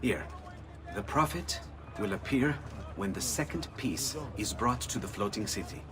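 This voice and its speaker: An actor playing an excitable, clever Italian inventor in a video game, eager and urgent, with an Italian accent. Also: male voice, on the low side, a little slow, distant mic. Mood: eager, puzzled. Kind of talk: video game cutscene acting.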